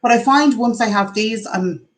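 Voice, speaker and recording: A woman in her forties with an Irish accent, hosting a casual live chat at home, talkative and teasing. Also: a little high. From Ireland. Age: 40s. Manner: chatty, teasing, quick to laugh. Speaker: vlogger hosting a live stream.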